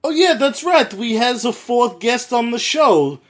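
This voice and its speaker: A man's voice: very high voice, animated, a little slow, a little hiss or hum, pitched above the performer's own voice. A man voicing a brash, rambling podcast host, with an exaggerated New Jersey accent that slides into Russian and mangled grammar. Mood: breezy. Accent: exaggerated New Jersey sliding into Russian. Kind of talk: comedic in-character podcast hosting.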